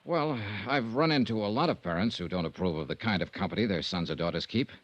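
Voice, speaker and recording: A wry, unflappable male investigator from vintage radio drama. His lowish voice swings widely in pitch, yet he stays dry and laconic. The old recording is muffled. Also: expressive, fast, dry recording. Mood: wry, relaxed. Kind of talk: old-time radio detective acting, first-person narration.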